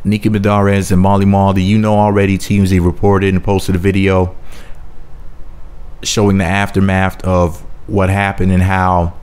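A man giving casual, sarcastic celebrity gossip commentary in a mocking, profane rant. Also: deep voice. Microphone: voice-over.

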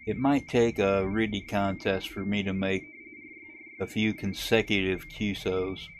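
A man with a low voice that barely rises or falls explains a radio logging setup step by step. He talks casually and haltingly, pausing on fillers as he clicks through menus. A faint hiss sits under his voice. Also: steady tone. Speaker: ham radio video host. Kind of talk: casual screen-recorded tutorial. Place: camper.

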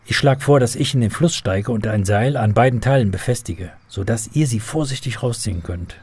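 A male actor plays an earnest man in a children's radio drama. His husky, mid-pitched voice explains things patiently and seriously. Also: fairly quick, darkish tone, no echo, lightly acted.

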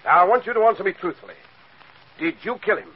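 An actor playing a stern, impatient police commissioner, gruffly interrogating in 1940s radio style. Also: male voice, high-pitched, expressive, fast. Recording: dull 1940s radio recording.

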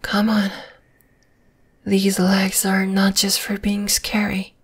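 A woman voicing a possessive, gently dominating spider monster girl in an ASMR roleplay, in a slow, deliberate, breathy whisper. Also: mid-range voice, monotone. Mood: tender, coaxing. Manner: slow, deliberate, soothing yet menacing.

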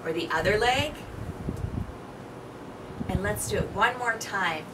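A woman in her thirties hosting an interactive storytime for young children, animated and deliberate, recorded outdoors at a distance from the microphone. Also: medium pitch. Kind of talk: interactive picture-book read-aloud for young children. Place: outdoors on an apartment balcony. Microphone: camera microphone a few feet away. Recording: outdoor balcony, distant mic, ambient noise.

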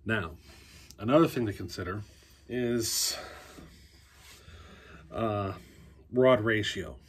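Guy in his 40s, husky lowish voice, relaxed shop teacher vibe, close phone mic in a basement workshop. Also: slow. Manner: easygoing, thinking aloud with fillers and restarts. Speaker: chainsaw repair teacher. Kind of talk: casual workshop how-to.

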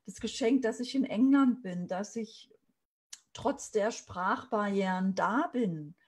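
A woman's voice: medium pitch, takes it slowly, darkish tone. A woman in her thirties from Saxony, a business coach telling a personal story in an earnest motivational talk to camera on a video call. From Saxony, Germany. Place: small room indoors.

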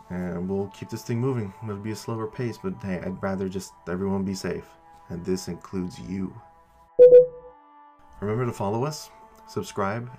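Guy doing his podcast outro, low voice, casual and a bit sweary, music underneath. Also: a little mellow. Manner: casual, mild cursing. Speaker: podcast creator and host. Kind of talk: informal podcast outro announcement. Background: background music.

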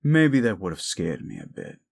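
A man playing a jaded, reclusive werewolf in an audio roleplay drama, gruff, curt and sardonic. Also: sits low, wildly swooping pitch, normal speed, exaggerated voice. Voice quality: gruff, gravelly.

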